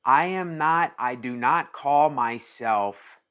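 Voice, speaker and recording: A man's voice: medium pitch, takes it slowly, fairly bright tone, clear, resonant tone. Rambling man in his thirties. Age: 30s. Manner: rambling, repeats himself, thinks aloud. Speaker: channel host. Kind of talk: casual monologue vlog.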